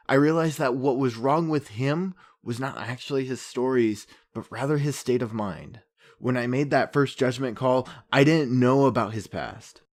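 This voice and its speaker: An actor playing an earnest, troubled journalist, narrating reflectively with hesitant pauses like a podcast host. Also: male voice, mid-range voice, natural voice. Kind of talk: podcast-style narration in audio drama.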